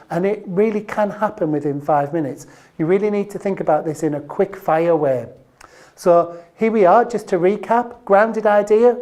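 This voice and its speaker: Female trainer for a short business explainer: lowish pitch, very mellow tone, emphatic on key phrases, speaking straight to the viewer. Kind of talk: instructional training video presentation to camera.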